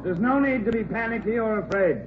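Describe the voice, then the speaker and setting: A man's voice: sits high, crowd noise, natural voice. A man with an American accent acting a formal, commanding police chief in a 1940s radio drama, on a tinny old recording. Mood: firm, formal. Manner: formal, authoritative, measured. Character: commanding male police chief addressing a theater crowd.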